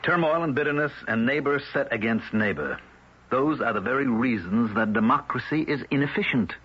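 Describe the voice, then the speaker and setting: An actor playing an outwardly kindly but scheming village elder in an old-time radio drama, genteel and deliberate. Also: male voice, mid-range voice, expressive, slightly rough. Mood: preachy. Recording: dull, noisy old radio broadcast.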